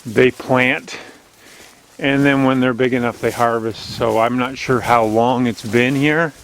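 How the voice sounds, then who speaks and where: Loud-voiced man chatting on a woodland walk. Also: medium pitch, fairly even intonation, unhurried, a little hiss or hum, low-quality recording. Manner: strong, loud voice, chatty and rambling. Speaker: channel host. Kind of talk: casual walking vlog narration. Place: outdoors on an overgrown woodland logging road. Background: faint outdoor nature ambience.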